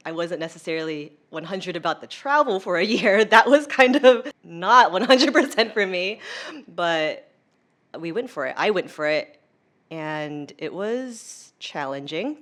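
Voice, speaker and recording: A woman's voice: lowish voice, expressive, unhurried, a bit bright. A young woman with an American accent talking in a casual, chatty way as an interview guest, peppering her sentences with "like". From United States. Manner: chatty, informal, heavy use of "like".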